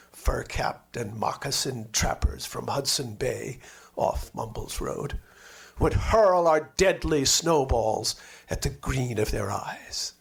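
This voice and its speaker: A man narrating a literary memoir expressively, with measured, dramatic phrasing and lingering words. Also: a little high.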